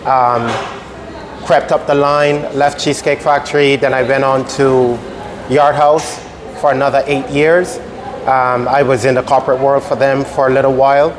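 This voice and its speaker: A middle-aged man from Barbados, a restaurant chef explaining things step by step in an interview, with measured, pausing delivery. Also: mid-range voice, level tone, crowd noise.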